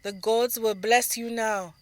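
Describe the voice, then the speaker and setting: A woman's voice: fairly high pitch, fairly even intonation, slow pace, no echo, pitched above the performer's own voice. A woman voicing a protective, serious older sister, a young dancer, in an audio drama story. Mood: earnest.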